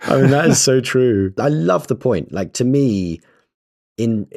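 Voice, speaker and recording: A man co-hosting a podcast in casual chat, animated and stumbling over his words as he gets going. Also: mid-range voice, measured pace, vocal fry. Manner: animated, restarts often, stresses words. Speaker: podcast co-host.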